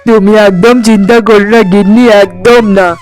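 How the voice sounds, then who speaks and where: A man's voice: high voice, steady tone, moderate pace, soft background music. A man voicing a devoted, tender young village husband in a cartoon, earnest, emotional and pleading. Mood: worried. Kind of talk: cartoon voice acting in Bengali fairy tale.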